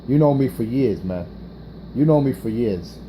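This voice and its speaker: A man's voice: mid-range voice, lively intonation, normal speed, mellow, a little hiss or hum, low-quality recording. Brash trash-talking man on CB radio. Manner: brash, taunting, profane, repeats himself. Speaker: CB radio operator hosting an on-air morning show.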